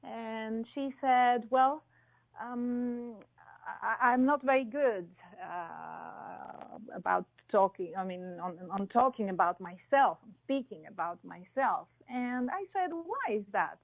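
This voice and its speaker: A woman from Greece, a guest in an interview, talking slowly and thoughtfully with many pauses and fillers. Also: on the high side, animated, gravelly, narrow, tinny audio. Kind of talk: interview conversation.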